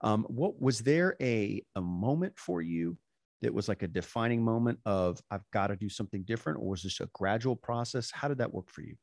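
Low-voiced man hosting a podcast. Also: a little fast, gravelly, no room sound, studio quality. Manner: relaxed, with frequent fillers and restarts. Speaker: podcast host. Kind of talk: casual interview chat.

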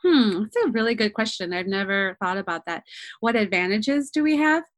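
A woman guest on a podcast, talking in a lively, casual way, restarting her sentences often. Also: mid-range voice, moderate pace, no room sound, clean studio sound. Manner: animated, restarts sentences, many fillers. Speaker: travel journalist guest.

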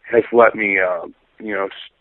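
A man's voice: fairly low pitch, steady tone, a little hiss or hum. Middle-aged man on a tinny phone line. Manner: halting, thoughtful, frequent pauses. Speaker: interview guest, musician and teacher. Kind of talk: reflective conversational interview. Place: remote phone call.

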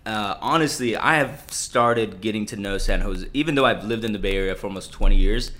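Bright-voiced American man, casual podcast host. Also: on the low side, a little fast. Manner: profane, slangy, informal. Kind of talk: casual chat interview.

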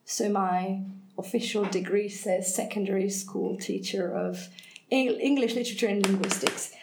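A woman's voice: medium pitch, steady tone, normal speed, a little mellow. Woman talking through hesitations. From Hungary. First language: Hungarian. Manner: thoughtful, self-correcting, frequent hesitations. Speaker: head of English department, guest. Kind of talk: unscripted conversation. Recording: echoey room, faint noise.

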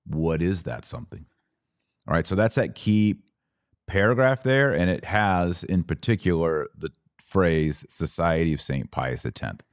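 Male podcast host, very low voice, dry close sound, earnest and conversational, turns indignant. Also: expressive, a little slow, no room sound. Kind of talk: livestream podcast reading viewer comments.

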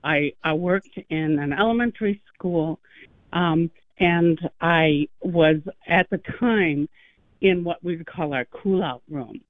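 A woman's voice: on the low side, takes it slowly, no echo. A middle-aged woman calling in to a radio show, telling a story earnestly and with emphasis. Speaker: caller. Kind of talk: phone-in call. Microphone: phone. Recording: phone line.

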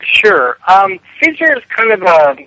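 Bright, high-pitched man on a phone call. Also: big pitch swings, unhurried, slight background noise. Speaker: film director being interviewed. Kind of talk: casual phone interview. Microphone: telephone or internet call. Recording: thin phone call, faint music behind.

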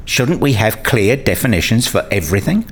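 A man's voice: on the low side, big pitch swings, normal speed, husky, exaggerated voice. A man acting a frustrated, restless academic who narrates his dreary days in a radio play, wry and grumbling. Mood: puzzled, curious. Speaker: narrator and lead character. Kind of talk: first-person radio play narration and dialogue.

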